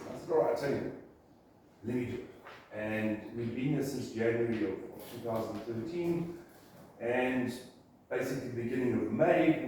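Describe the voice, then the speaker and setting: A man's voice: medium pitch, mellow. A man in his fifties with a South African accent, telling his business story to a group in a chatty, rambling way. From Zimbabwe. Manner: chatty storytelling, many ums and restarts. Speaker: guest speaker, print shop owner. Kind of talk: casual talk to a business group. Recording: echoey room, from a distant mic, faint noise.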